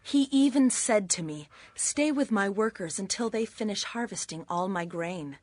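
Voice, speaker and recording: An actress playing a humble, devoted young widow in a dramatized scripture reading, earnest and deferential. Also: female voice, medium pitch, lively intonation, normal speed, darkish tone, no echo, close to an everyday voice. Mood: eager.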